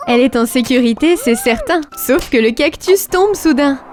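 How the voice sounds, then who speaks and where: A sing-song cartoon narrator. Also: female voice, sits high, animated, moderate pace. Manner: sing-song rhyming lines. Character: sung cartoon narrator, adult woman. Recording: with loud children's music.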